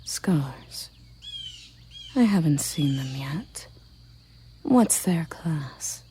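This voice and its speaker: A woman voicing a regal, tender and possessive elven queen in a breathy ASMR roleplay whisper, with soft, commanding endearments. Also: low voice, expressive, very slow, a little hiss or hum, full character voice. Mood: tender, composed.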